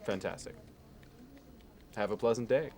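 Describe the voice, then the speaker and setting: A man playing a smug, meticulous Manhattan investment banker in an ASMR roleplay, speaking in a measured, self-assured way. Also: fairly low pitch, noisy background, lightly acted. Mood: smooth businesslike.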